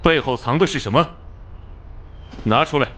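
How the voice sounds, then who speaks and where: An actor voicing a stern, protective army squad leader in an animated drama, rough and emphatic. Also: male voice, fairly high pitch, moderate pace. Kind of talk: Chinese animation dubbing.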